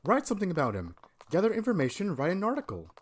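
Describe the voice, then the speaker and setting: A man's voice: high-pitched, wildly swooping pitch, fast, exaggerated voice. A man voicing a laid-back young male pony in a fan audio drama. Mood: encouraging. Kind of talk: animated-fandom audio drama acting.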